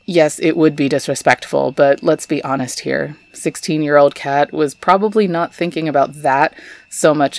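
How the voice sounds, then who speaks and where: A woman's voice: sits low, a little mellow, dry recording. A young adult woman from Texas, hosting a scripted storytelling podcast with chatty, wry asides. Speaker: podcast host.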